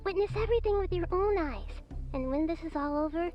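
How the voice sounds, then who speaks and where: Solemn, enigmatic mystic, slightly muffled. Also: female voice, extremely high pitch, expressive, fairly quick, faint music behind. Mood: solemn, cryptic. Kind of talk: video game cutscene acting.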